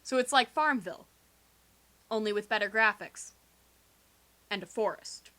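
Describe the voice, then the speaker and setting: A young woman doing a theatrical, sarcastic comic dramatic reading in slangy language, recorded quietly on a homemade game microphone rig. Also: medium pitch, unhurried. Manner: theatrical, sarcastic, slangy, acts out quoted dialogue. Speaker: storyteller. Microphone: video game microphone with improvised pop filter.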